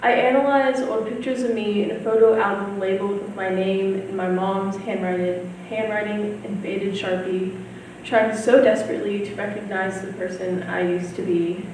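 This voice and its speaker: A woman's voice: medium pitch, steady tone, normal speed, a little mellow. A teenage female student reading her own written piece aloud. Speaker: student reader. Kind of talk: scripted poetic reading. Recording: very echoey room, distant mic, faint noise.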